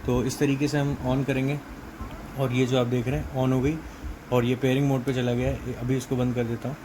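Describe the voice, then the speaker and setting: A man's voice: mid-range voice, flat delivery, moderate pace. Male product reviewer, Indian accent, level delivery, explanatory and casual, noisy recording. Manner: explanatory, mixes in English technical terms. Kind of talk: casual unboxing and product walkthrough.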